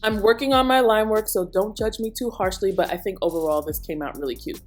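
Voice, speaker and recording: Woman, steady tutorial voice-over, mid-range voice, faint music under it. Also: mid-range voice, fairly quick. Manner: steady product walkthrough. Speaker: beauty vlogger. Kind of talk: scripted voice-over narration. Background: soft music.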